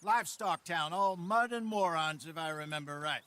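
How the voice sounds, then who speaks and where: An actor playing an older outlaw in a video game, wary and plain-spoken, with a folksy, cautioning manner. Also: male voice, on the high side, moderate pace, pushed and bright. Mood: cautioning, wry. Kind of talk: video game cutscene acting.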